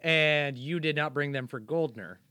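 A man in his thirties co-hosting a sports podcast, animated and excitable in conversation. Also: fairly high pitch, normal speed, dry recording. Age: 30s. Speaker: podcast co-host. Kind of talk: casual sports podcast chat.

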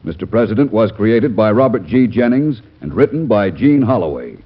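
A male radio announcer with a lowish, husky voice reads scripted introductions and credits. His style is classic mid-century broadcast announcing. The sound is muffled, like an old radio. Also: sing-song, normal speed.

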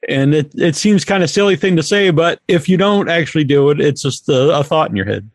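A man hosting a horror podcast interview, chatting in a relaxed, conversational way and dropping in quick affirmations. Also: fairly high pitch, no room sound, studio quality. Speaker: podcast host. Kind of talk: casual interview chat.

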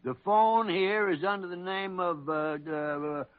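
A muffled vintage radio recording of a crusty older doctor. His voice sits on the high side for a man. He grumbles and blusters in the theatrical style of 1940s radio, stammering when a word escapes him. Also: measured pace. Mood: grumbling, emphatic. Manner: gruff, grumbling, stammering when searching for words. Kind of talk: 1940s radio drama acting.

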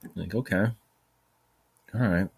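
A man in his forties spins a long, wandering anecdote in a loose, chatty way. His voice sits a bit on the low side, and he keeps laughing at himself and cursing as he drifts off topic. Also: a little slow, gravelly, a little mellow, slightly rough. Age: 40s. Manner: chatty, profane, self-mocking, drifts off topic. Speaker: podcast host. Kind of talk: rambling casual storytelling.

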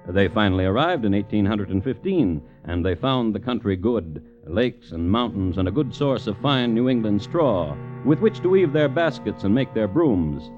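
A dull vintage radio recording with faint music features a male narrator. His low voice moves through a wide range of pitch while he tells the story in a measured, wry manner. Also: sing-song. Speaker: host and narrator. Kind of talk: 1950s radio scripted narration. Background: musical score.

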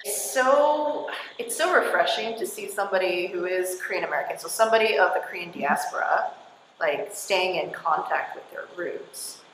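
A woman's voice: lowish voice, animated, moderate pace, a bit bright, a little hiss or hum. A woman interviewing a guest in a casual chat, filling her talk with likes and you knows. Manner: chatty, filler-heavy with like and you know. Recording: echoey hall with faint ambience.

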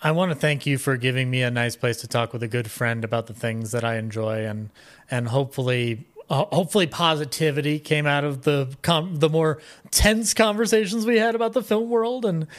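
A man talking casually as a podcast guest, halting and effusive in manner. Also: fairly high pitch, fairly even intonation. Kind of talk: casual chat.

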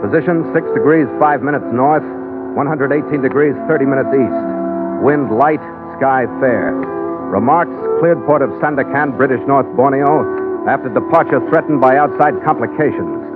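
A man's voice: mid-range voice, normal speed, lightly acted. An American man playing a tough, seasoned sea captain, narrating in a clipped, matter-of-fact 1940s radio adventure style. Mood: terse, official. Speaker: lead actor and narrator. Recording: tinny vintage radio broadcast, loud music.

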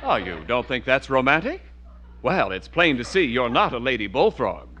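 Animated 1940s radio announcer man. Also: mid-range voice, normal speed, background noise.

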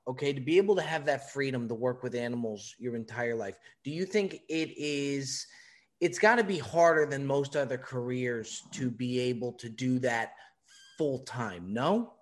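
A man's voice: medium pitch, normal speed. A man hosting a podcast, talking in an emphatic, earnest and teasing way in casual chat. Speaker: podcast host.

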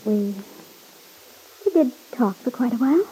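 A worried, gentle young woman in a vintage radio soap. Her voice is fairly high, soft and mellow, with a breathy husk. She is hesitant and earnest, as if guarding something. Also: animated, measured pace, full character voice, poor audio. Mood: uneasy. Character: Lisa, anxious, secretive, gentle young woman. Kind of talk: old-time radio serial acting.

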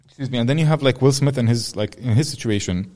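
A man's voice: mid-range voice, fast, a little mellow. A young man from Jordan hosting a podcast interview, talking in a casual, rambling way and swearing freely. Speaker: podcast host.

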